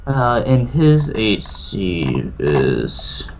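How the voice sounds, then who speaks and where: A man's voice: lowish voice, very animated, very slow. A man acting as game master, talking casually through a tabletop roleplaying game on a muffled mic. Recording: muffled mic with noise and clicks.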